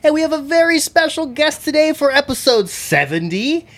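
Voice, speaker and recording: A man hosting a casual podcast, chatty and joking, with quick asides to his guests. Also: extremely high pitch, big pitch swings, fairly bright tone. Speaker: podcast host. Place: indoor recording room.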